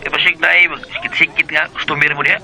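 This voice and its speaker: An actor playing a young, controlling Bisaya husband in a Filipino radio drama. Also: male voice, on the high side, little pitch movement, fast talker, sharp tone, low-quality recording. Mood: jealous, suspicious.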